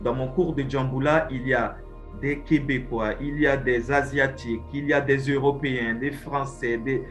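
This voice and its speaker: Brief: man, Ivorian French accent, mid-pitched, easygoing interview. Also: fairly even intonation, a little fast, fairly bright tone, faint music behind. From Côte d'Ivoire. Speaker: interviewee, course instructor. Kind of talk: casual personal testimony.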